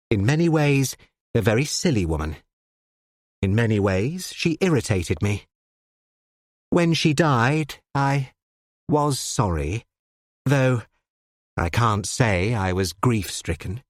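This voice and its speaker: A reader voicing a cool, sardonic elderly male judge who speaks in precise, measured phrases. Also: mid-range voice, sing-song, no room sound, studio quality.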